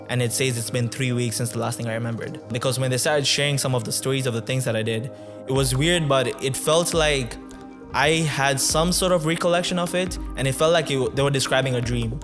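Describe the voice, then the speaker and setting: A man in his twenties from Tanzania, a podcast guest telling his story in a reflective, conversational way, often pausing with um and uh. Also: mid-range voice. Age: 20s. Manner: reflective, with many um and uh pauses.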